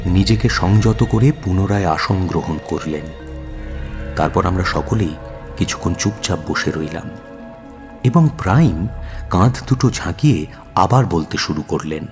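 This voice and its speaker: Deep raspy detective's sidekick telling the story, dramatic pauses, music behind. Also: male voice, lively intonation, darkish tone. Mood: tense, measured. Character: detective's male companion, dramatic, observant storyteller. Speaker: narrator. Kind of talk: dramatic scripted narration for radio drama. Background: music under the narration.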